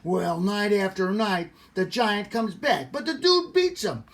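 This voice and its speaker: A man's voice: sits high, lively intonation, unhurried. An older man playing a cocky, impatient boy who tells a macho storybook tale in blunt, exasperated slang. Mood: cocky storytelling. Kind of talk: animated storybook read-aloud.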